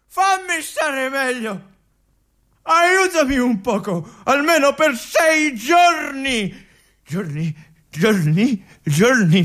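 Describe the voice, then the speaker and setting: An ailing veteran army lieutenant in a literary stage drama, forsaken and bitter. He speaks very slowly and haltingly, circling back over his own words. His brooding, yearning delivery belongs to a solitary theatrical monologue. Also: extremely high pitch, fairly bright tone, full character voice. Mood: anguished, desperate. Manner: halting, repeating words, brooding, swinging from whispers to anguished cries.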